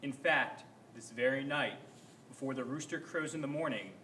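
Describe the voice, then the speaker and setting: A man's voice: medium pitch, normal speed, bright, forceful tone, lightly acted. A man reading the part of Jesus, a grave and sorrowful teacher, in a reader's theater performance of scripture. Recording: echoey room, faint background noise.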